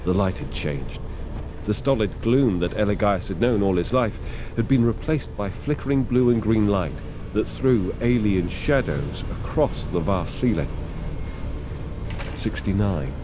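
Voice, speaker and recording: A man performing scripted dramatic narration of a grim science fiction story in a measured, deliberate way. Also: lowish voice, lively intonation, noisy background.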